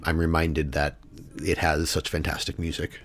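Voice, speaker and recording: A man co-hosting a podcast, calm and thoughtful, giving short agreeing replies. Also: rumbling low, moderate pace. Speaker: podcast co-host. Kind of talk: casual podcast chat.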